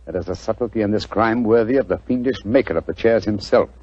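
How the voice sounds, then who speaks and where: An actor playing a brisk, shrewd English gentleman detective, assured and authoritative, in the style of 1940s radio drama. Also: on the low side, no echo. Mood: excited, commanding. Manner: crisp, authoritative, deductive. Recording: dull 1940s radio recording.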